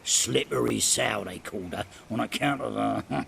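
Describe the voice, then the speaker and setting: An actor playing a coarse, sleazy, foul-mouthed body hauler in a video game, with a croaky voice and a working-class British accent. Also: male voice, on the low side, normal speed, fairly bright tone, slight background noise. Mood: gleeful. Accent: British regional working-class. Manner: chuckling, crude, swinging between musing and snapping. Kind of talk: video game character acting.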